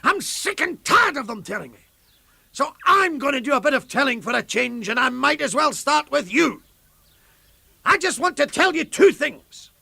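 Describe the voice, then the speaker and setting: An actor playing a bitter, defiant former stand-up comic making a rhetorical, theatrical speech to a hostile crowd in a radio drama. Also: male voice, extremely high pitch, gravelly, bright, forceful tone, husky, no echo, full character voice. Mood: angry, defiant. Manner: rhetorical, theatrical, with deliberate repetitions and pauses.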